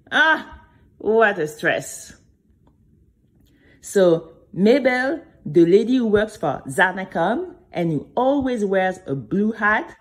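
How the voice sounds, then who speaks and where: A woman playing a chatty, dramatic young girl who tells her own story straight to listeners in an audio drama for children. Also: mid-range voice. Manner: expressive, confiding, exclamatory, addressing listeners directly. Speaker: narrator and main character.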